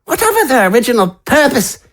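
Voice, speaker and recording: A frail, nervous old male librarian for a fantasy audio drama. The voice is very high, shaky and squeaky. Its intonation moves up and down a lot. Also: sing-song, quick pace, fairly bright tone, full character voice. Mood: explanatory, wary. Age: older.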